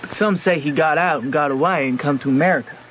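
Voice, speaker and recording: Huck, high-voiced Southern backwoods boy played by a man. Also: quick pace, fairly bright tone, a little hiss or hum, lightly acted. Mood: earnest. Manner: folksy, matter-of-fact storytelling. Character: Huck, plainspoken backwoods boy telling his river adventures. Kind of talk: old-time radio drama narration and dialogue. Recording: muffled vintage radio recording, music behind.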